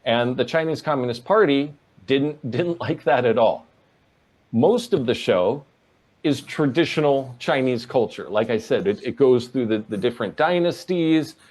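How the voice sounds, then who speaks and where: A man from Oregon answering interview questions as a guest, in an earnest, measured way. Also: medium pitch, animated, darkish tone. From Portland, Oregon, USA.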